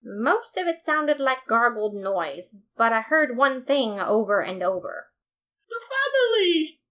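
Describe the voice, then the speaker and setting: A woman narrating a fan fiction story as a podfic reader, in a steady, measured way. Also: fairly high pitch.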